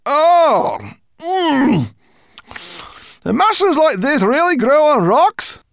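A snappish female brownie from a storybook, played by a man. The voice is raised well above a man's usual talk, with lively swings. She is blunt, sarcastic and cross, given to growling and muttering. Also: extremely high pitch, moderate pace, creaky, no room sound, clean studio sound, pitched above the performer's own voice, exaggerated voice. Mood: appreciative. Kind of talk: storybook character acting in audiobook.

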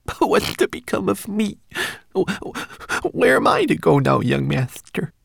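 A man voicing a tiny male homunculus, tearful, fussy and proud, in a high thin voice, for a storybook. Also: sing-song, unhurried, creaky, a bit bright, breathy edge, no echo, studio quality, exaggerated voice. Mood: sobbing, distressed. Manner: plaintive, fussy.

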